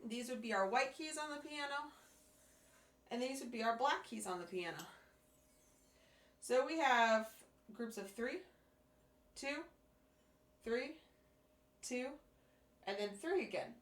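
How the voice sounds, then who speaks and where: A woman teaching a beginner percussion lesson, patiently explaining step by step while hoarse from losing her voice. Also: fairly high pitch, lively intonation, slow, pauses often. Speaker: music teacher. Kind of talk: instructional demonstration. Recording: echoey room.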